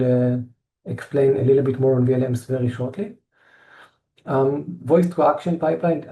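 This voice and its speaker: A middle-aged man with an Israeli accent giving a technical presentation, halting often with fillers and restarts. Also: medium pitch, mellow, low-quality recording. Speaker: tech company presenter.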